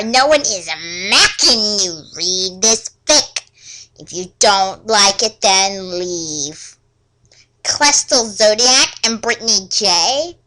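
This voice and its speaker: Defensive teenage author played by a woman, sarcastic, slow exaggerated emphasis, animated pitch, for parody reading. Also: full character voice, poor audio.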